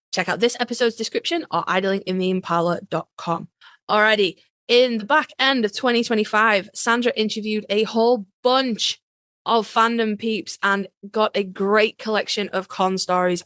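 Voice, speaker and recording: A woman's voice: medium pitch, steady tone, moderate pace, fairly bright tone, no room sound. A woman co-hosting a podcast, talking informally and directly to listeners in a casual bantering style. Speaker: podcast co-host.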